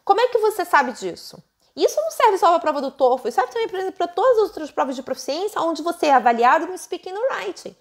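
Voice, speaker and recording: A Brazilian Portuguese woman teaching English test prep at home into her own microphone, lively and full of rhetorical questions. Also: very high-pitched, quick pace. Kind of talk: casual teaching monologue to camera.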